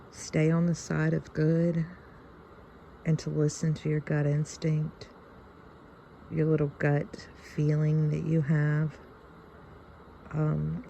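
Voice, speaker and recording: A woman in her fifties with a Southern US accent, chatting reflectively and haltingly to her viewers. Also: low voice, vocal fry, dark tone, slight background noise, poor audio. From Louisiana, United States. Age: fifty-one. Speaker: video channel host. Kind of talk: casual reflective chat to viewers.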